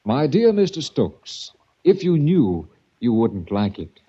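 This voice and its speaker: A silky, sinister doctor. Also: male voice, mid-range voice, sing-song, takes it slowly, dark tone, slightly rough, exaggerated voice. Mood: menacing. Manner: silky, menacing politeness. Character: smooth, sinister male sanitarium director. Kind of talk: 1940s radio acting. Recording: dull old radio recording.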